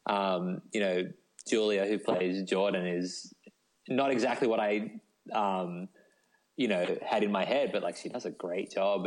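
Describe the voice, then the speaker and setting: A man's voice: deep voice, rough recording. A man chatting casually as an interview guest, thinking aloud and padding his sentences with "like" and "you know". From Australia. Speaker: guest, novelist.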